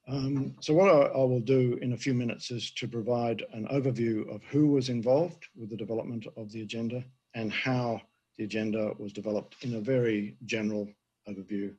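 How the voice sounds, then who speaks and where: An older man, an emeritus professor, giving a conference presentation with a hoarse voice he is losing, halting with many fillers. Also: mid-range voice, steady tone, darkish tone, highs cut off. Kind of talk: conference presentation over video.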